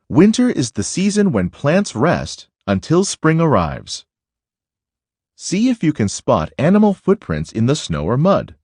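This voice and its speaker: A man reads a children's nonfiction book aloud at a slow, deliberate pace. His pitch moves up and down dramatically from phrase to phrase. The voice is slightly husky, and he phrases things for young listeners. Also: a little mellow, no echo, studio quality. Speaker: reader of a children's picture book.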